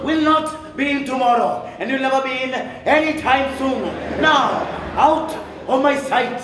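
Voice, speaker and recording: Young man acting impatient male principal, high-pitched, commanding, stage play, echoey hall from afar. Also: a little slow, noisy background. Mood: stern, dismissive. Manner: commanding, exclamatory. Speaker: stage actor in a school play. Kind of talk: projected stage drama acting. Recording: echoey hall, distant mic.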